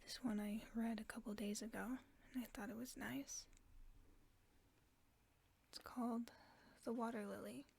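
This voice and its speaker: A gentle, protective werewolf friend, played by a woman, in a sleep-aid audio roleplay. The voice is a soft, breathy whisper, pitched a little high, with a mellow tone. It sits close to the microphone. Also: steady tone, normal speed, pauses often, heavily acted. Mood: soothing.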